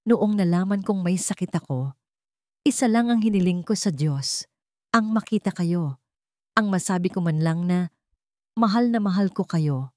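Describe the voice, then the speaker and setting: A woman narrator acts an ailing Filipino father full of remorse. The delivery is halting and pleading, in radio-drama style, with a dry close sound. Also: fairly low pitch, animated, darkish tone, no echo, lightly acted. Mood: remorseful. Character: broken, ailing, remorseful father and estranged husband.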